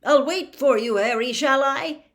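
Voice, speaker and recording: A woman's voice: high-pitched, measured pace, voice pushed up. A woman voicing a cheerful, chummy adult man, a breezy contest official in a storybook. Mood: slightly perturbed.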